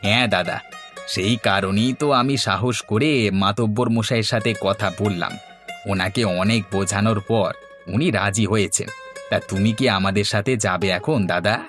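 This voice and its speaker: A man's voice: on the low side, a little slow, fairly bright tone. Man acting an earnest, declamatory village man. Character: earnest, brave village man rallying his neighbours. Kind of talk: Bengali cartoon voice acting. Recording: music playing behind the voice.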